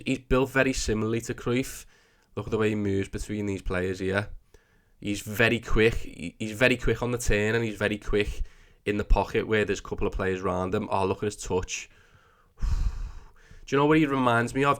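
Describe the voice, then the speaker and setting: Thirties man, lowish voice, mild cold, easygoing rambling sports commentary. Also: fairly bright tone. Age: 30s. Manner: chatty and rambling, with many restarts. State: has a cold. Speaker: sports video host. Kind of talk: casual commentary over football clips.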